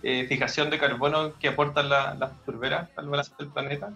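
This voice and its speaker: A man co-hosting a radio show, asking halting questions with many restarts. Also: fairly high pitch, little pitch movement, creaky, bright, forceful tone, soft background music. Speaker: radio co-host.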